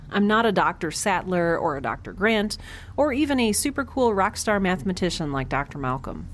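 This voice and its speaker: A woman's voice: on the low side, a little hiss or hum. A woman playing a quirky, nervous scientist aboard a spaceship in an audio drama, rambling with sarcastic, self-deprecating humor. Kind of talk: conversational audio drama acting.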